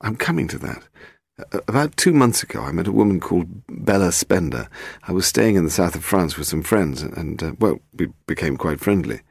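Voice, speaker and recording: Audiobook role: nervous publisher, male played by a male narrator, halting delivery with stammers, lively intonation. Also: sits low, vocal fry, breathy edge, no room sound, clean studio sound, full character voice. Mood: hesitant, worried. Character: anxious, hesitant male publisher. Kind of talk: audiobook character voice.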